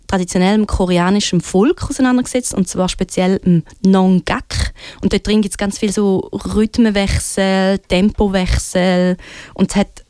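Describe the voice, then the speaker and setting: A woman's voice: medium pitch, a little mellow, smooth, dry recording, clean studio sound. A woman with a Swiss German accent presenting music on the radio in a casual, enthusiastic, informal way. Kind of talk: casual chat introducing music.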